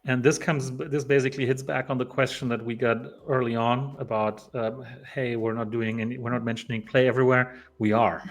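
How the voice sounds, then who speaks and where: A man's voice: medium pitch, flat delivery, brisk, hardly any pauses. A man from Poland hosting a developer live stream, chatting casually with lots of fillers and restarts. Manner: rambling, many fillers and restarts.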